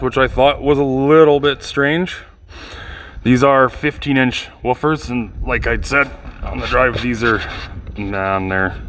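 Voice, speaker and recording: Low-pitched man, casual and informal. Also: a bit bright, a little hiss or hum. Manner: informal, rambling, thinking aloud. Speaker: vlogger restoring old speakers. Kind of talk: casual hands-on video commentary.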